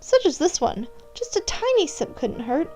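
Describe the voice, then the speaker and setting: A woman's voice: sits high, very animated, moderate pace, darkish tone. A woman reading scripted second-person story narration, addressing the listener as the character. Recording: music playing behind. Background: background music.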